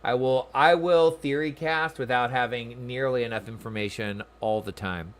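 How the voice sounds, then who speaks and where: A man's voice: mid-range voice, steady tone, moderate pace, a little hiss or hum. A man streaming a game, giving casual commentary and musing aloud informally as he chats with viewers.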